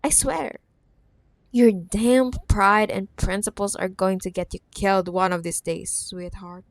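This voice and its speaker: Female actor for obsessive corporate villainess ex in ASMR drama; teasing, mock-sweet menace, unhurried delivery, very dry sound. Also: mid-range voice, steady tone, no echo, close to an everyday voice. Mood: sarcastic, exasperated. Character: possessive, menacing, sweetly condescending corporate CEO ex-girlfriend. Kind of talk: roleplay ASMR audio drama.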